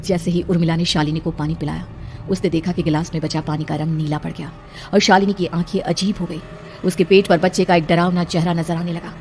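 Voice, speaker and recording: Fast, lower-voiced woman narrating horror. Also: flat delivery, darkish tone, slight background noise. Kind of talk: scripted dramatic horror story narration.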